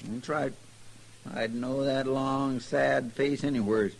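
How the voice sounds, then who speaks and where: An actor playing a fretful, feisty marshal's helper in a radio western, with a rustic, stubborn manner and a Western American drawl. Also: male voice, medium pitch, steady tone, slow, gravelly. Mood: worried, insistent. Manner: rustic, plain-spoken, stubborn. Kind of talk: old-time radio western acting. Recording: noisy vintage radio recording.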